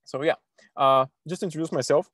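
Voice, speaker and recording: A man's voice: a little high, fairly bright tone, dry recording, clean studio sound. A young man from Bulgaria, a PhD student in astrophysics, giving an informal online science lesson to kids, full of restarts and fillers. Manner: halting, many restarts and fillers, eager.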